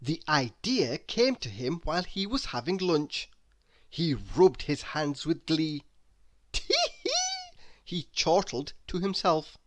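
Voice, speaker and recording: A woman reading a children's story aloud in an expressive, deliberate narrating style. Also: low-pitched. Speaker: storybook reader.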